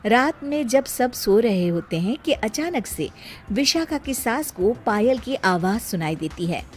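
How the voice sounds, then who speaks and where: A woman's voice: a little high, lively intonation, unhurried, darkish tone, slight background noise. A woman reading scripted story narration as the narrator of a family tale.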